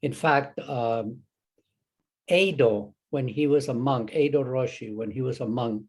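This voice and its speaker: An older man from Chile with a Chilean Spanish accent, a Zen teacher talking as an interview guest, halting with many restarts and fillers. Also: medium pitch, a little mellow, husky. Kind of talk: casual interview conversation.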